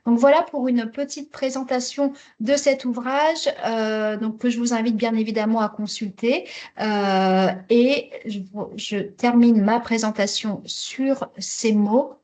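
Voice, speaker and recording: A woman with a fairly high voice presents at an online webinar. Her delivery is semi-scripted and halting, with words often repeated as she searches for the next phrase. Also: steady tone, a little mellow. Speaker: webinar presenter for a health network.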